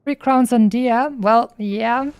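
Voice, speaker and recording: A woman's voice: on the high side, takes it slowly, darkish tone, clear, resonant tone, no room sound. A woman streamer giving casual, chatty gameplay commentary, rambling and thinking aloud as she goes.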